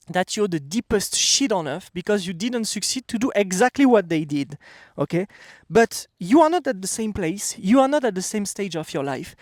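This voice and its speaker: French man with a high, bright voice. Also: dry recording, clean studio sound. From France. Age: 30s. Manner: blunt, emphatic, repeats "okay?". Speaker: vlogger. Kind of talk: casual motivational talk to camera. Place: outdoors.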